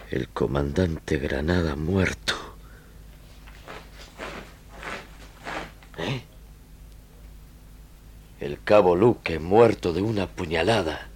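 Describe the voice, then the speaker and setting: An actor playing an earnest army lieutenant in a theatrical, halting style of old radio drama. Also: male voice, low-pitched, exaggerated voice. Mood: dazed, shocked. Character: earnest young army lieutenant, dazed then defiant. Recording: noisy old radio archive tape.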